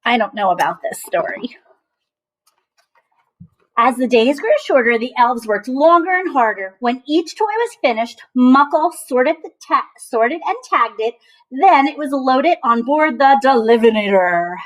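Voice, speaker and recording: A woman reading a children's story aloud as a teacher, with expressive emphasis and chatty asides to her young listeners. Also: sits high, a little slow, poor audio.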